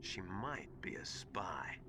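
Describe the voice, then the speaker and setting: Animated, conspiratorial military adviser. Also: male voice, low-pitched, slow, in a whisper, full character voice. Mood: suspicious, grave. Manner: insistent, expository, dramatic. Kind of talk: dramatic video game voice acting.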